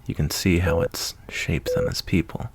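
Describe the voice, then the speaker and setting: A man playing a kindly, easygoing wasteland doctor in a soft-spoken, intimate ASMR roleplay, reassuring a patient in a folksy way. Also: sits low, huge pitch swings, normal speed, gravelly, very noisy, full character voice. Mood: gentle, folksy.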